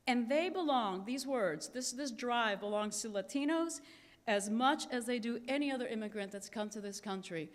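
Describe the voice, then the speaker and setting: A Cuban-born woman gives an earnest keynote speech at a conference, slowing down to lean on her key phrases. Her voice sits a little high, and the long reverb of a large hall trails after every line. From Cuba. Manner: earnest, emphatic, slows to stress key phrases. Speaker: keynote speaker.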